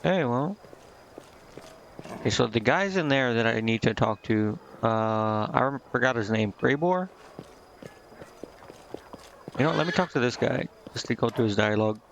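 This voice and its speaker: A man's voice: medium pitch, lively intonation, moderate pace, gravelly, long pauses, a little hiss or hum. A man giving casual live gameplay commentary, muttering and thinking aloud with hesitation. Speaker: game streamer. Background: game music.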